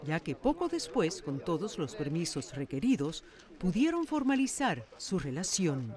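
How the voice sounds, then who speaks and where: Female TV reporter, scripted narration, lower register, very varied intonation. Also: very animated, a little slow, darkish tone. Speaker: TV news magazine presenter and reporter. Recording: music bed under studio voice. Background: background music.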